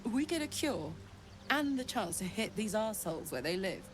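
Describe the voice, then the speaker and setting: An actress voicing a brash, fiery fighter in a fantasy video game, blunt and punchy. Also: female voice, mid-range voice, big pitch swings, normal speed, slight background noise, close to an everyday voice. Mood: eager, combative.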